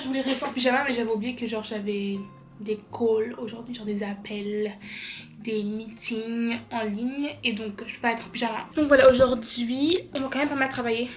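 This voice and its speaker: Young woman from Belgium, higher voice, chatty and tired, home vlog with faint music behind. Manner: chatty, tired, says genre a lot. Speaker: vlogger. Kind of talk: casual vlog chat.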